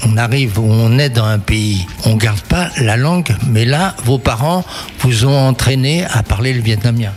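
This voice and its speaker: French reporter asking friendly questions. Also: male voice, medium pitch, lively intonation, normal speed, faint music behind. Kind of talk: radio-style interview questions.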